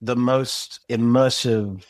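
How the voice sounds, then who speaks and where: A man's voice: mid-range voice, fairly even intonation, slow pace, no room sound. A man speaking as a guest in a podcast interview, talking things through reflectively and thinking aloud as he goes. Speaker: podcast guest, audio drama creator. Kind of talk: casual conversational interview.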